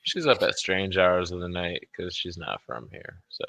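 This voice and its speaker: A man's voice: deep voice, animated, moderate pace, creaky, a bit bright, dry recording. A man co-hosting a stream in offhand, sweary casual chat. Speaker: stream co-host.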